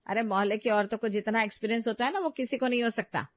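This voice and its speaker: A woman's voice: a little high, quick pace, dry recording, lo-fi sound, lightly acted. A woman voicing a stubborn, disapproving older mother-in-law in a cartoon story. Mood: scolding, exasperated.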